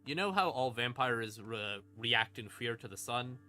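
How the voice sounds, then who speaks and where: A man's voice: medium pitch, lively intonation, a little slow, pushed and bright. A man streaming a casual explanation of game lore, informal, with dry asides and dramatic emphasis. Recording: music behind the voice. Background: creepy ambient music.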